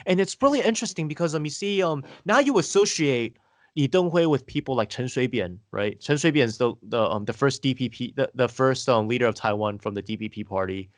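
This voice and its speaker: A man's voice: medium pitch, fairly quick, no room sound. Man hosting a podcast, in a dry room. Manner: explanatory, steady, asks leading questions. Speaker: podcast host. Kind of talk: conversational history discussion.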